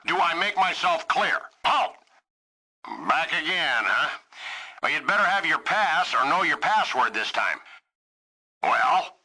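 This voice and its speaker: A gruff military man guarding a base, officious and irritable. His voice is very sharp and forceful, swinging widely in pitch as he barks out clipped orders and bullies whoever stands before him. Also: heavily acted, low-quality recording. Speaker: military gate guard and sergeant. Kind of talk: dramatic video game voice acting.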